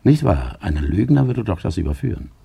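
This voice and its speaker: A smooth, needling interrogator in a radio drama, his dark, husky voice swinging widely in pitch. He probes with mock patience and loaded insinuation. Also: big pitch swings, fairly quick, full character voice. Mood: insinuating calm. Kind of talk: German radio play acting.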